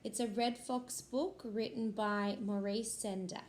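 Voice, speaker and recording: Woman, school librarian, reads a picture book aloud to young children. Slow and expressive, mid-range voice. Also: medium pitch, a little hiss or hum. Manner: slow, expressive storybook reading.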